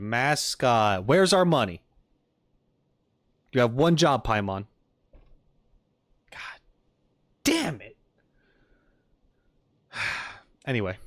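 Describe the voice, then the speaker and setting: A man streaming casual reaction commentary on game voice lines, joking and playfully exasperated. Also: medium pitch. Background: faint music.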